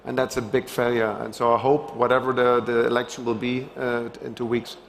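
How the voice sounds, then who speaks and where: A man's voice: mid-range voice, little pitch movement, a little fast, creaky, a little hiss or hum. A middle-aged man speaking on a conference panel, with many fillers and restarts. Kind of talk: panel discussion. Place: large conference stage.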